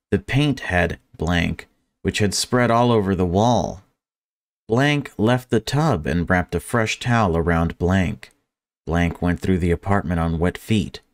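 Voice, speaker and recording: A man reading visual novel story text aloud and adding casual commentary as a gaming video creator. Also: low-pitched.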